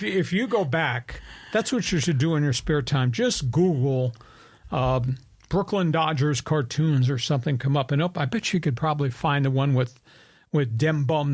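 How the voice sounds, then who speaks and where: A man's voice: fairly high pitch, normal speed, no echo, studio quality. A man in his seventies from New York, hosting a sports talk show and telling rambling, opinionated stories. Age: 70s. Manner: storytelling, opinionated, rambling with restarts. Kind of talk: sports talk radio chat.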